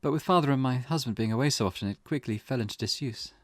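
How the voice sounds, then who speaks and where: A man voicing a formal, intense, vengeful grieving mother from a visual novel in a slow, dramatic delivery. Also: medium pitch, dry recording, pitched above the performer's own voice, lightly acted. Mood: wistful.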